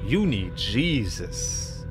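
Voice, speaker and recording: A man's voice: on the low side, big pitch swings, very slow. A young man hosting an online prank channel from his bedroom, talking casually and playfully into a studio mic. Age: young adult. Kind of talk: casual video chat and announcements to viewers. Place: small bedroom. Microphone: boom-arm studio mic and headphones. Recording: music behind the voice. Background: music.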